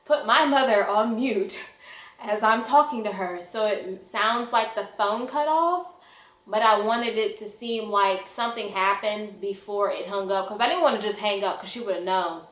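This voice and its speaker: A woman's voice: mid-range voice, moderate pace, a bit bright. A young woman telling a personal story in a dramatic, exaggerated, slangy way, acting out the dialogue. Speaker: vlogger. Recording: echoey room.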